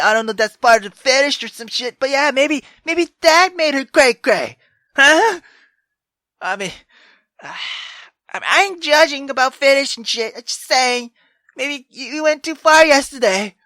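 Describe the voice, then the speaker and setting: A man doing gaming commentary and reading story text aloud, excitable, slangy and sweary. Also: extremely high pitch, unhurried, no echo, studio quality. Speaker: let's play host.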